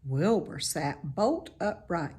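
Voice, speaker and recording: Female storybook narrator: unhurried, lowish, slightly husky, animated read-aloud delivery. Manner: expressive, deliberate read-aloud. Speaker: storybook reader. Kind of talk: scripted narration of a children's novel.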